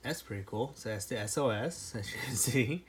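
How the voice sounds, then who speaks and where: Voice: mid-range voice, moderate pace. A product reviewer giving casual unboxing commentary, informal and thinking aloud. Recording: clearly noisy home recording with clicks.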